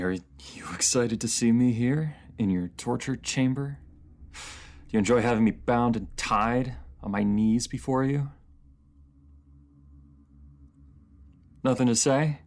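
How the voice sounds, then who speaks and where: A man playing a proud, bitter captive ruler in a fantasy audio drama, speaking in formal, haughty, taunting phrases with a gravelly voice. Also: mid-range voice, unhurried, a little mellow, close to an everyday voice. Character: proud captive enemy ruler, sardonic, bitter, secretly admiring.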